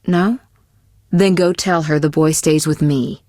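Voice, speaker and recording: A woman playing a poised, worldly young sorceress in a video game, caring but teasing and possessive, with measured, articulate delivery. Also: sits low, steady tone, darkish tone, no echo, studio quality. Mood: composed, gently chiding. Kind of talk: scripted video game voice acting.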